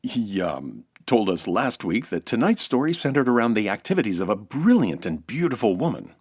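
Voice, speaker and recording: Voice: medium pitch, big pitch swings, normal speed, husky. An actor playing a polite, flustered announcer in an old-time radio comedy, with a courteous, hesitant way of talking. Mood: hesitant, puzzled. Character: polite, flustered radio show announcer visiting Watson's house.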